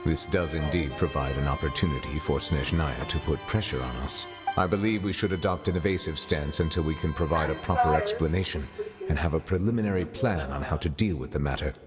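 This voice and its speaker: A very low male voice gives life to a courteous chief justice from a video game. He is formal and guarded, placing every phrase with measured precision while music plays prominently behind him. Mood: grave, cautious.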